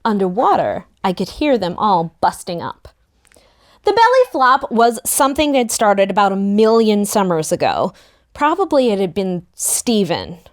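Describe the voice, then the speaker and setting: A woman narrating a first-person story in an expressive, youthful storytelling manner. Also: medium pitch, normal speed. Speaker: narrator in a book table read.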